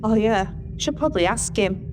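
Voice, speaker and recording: A woman's voice: mid-range voice, lively intonation, normal speed, over music, natural voice. A woman playing a weary, blunt starship master chief in a sci-fi audio drama, with a dry delivery. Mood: grumbling.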